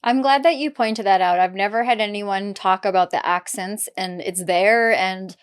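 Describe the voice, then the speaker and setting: A woman's voice: medium pitch, normal speed, clean tone. A woman hosting a podcast interview, affirming and supportive toward her guest. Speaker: podcast host. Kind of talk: casual interview talk.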